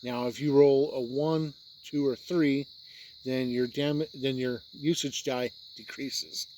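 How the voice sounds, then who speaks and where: A man's voice: medium pitch, a little mellow, low-quality recording. A man with a mid-range voice gives an unscripted review. He explains things plainly, one step after another, and sometimes repeats himself. A light layer of background noise sits behind his voice. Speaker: tabletop game reviewer.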